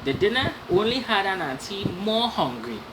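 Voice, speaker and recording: A woman's voice: medium pitch, very animated, normal speed. A woman telling a children's story aloud, with explanatory asides. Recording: clearly noisy background.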